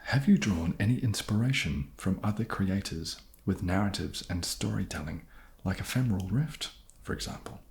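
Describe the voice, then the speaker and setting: A soft-spoken man casually answering viewer questions in a gentle, wry way. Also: deep voice, lively intonation, moderate pace, creaky. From New Zealand.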